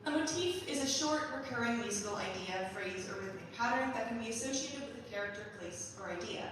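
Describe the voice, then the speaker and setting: A young adult woman giving a prepared, measured introduction as a student composer presenting her staged reading. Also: a little high, expressive. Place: large performance hall. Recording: very echoey hall, distant mic, faint noise.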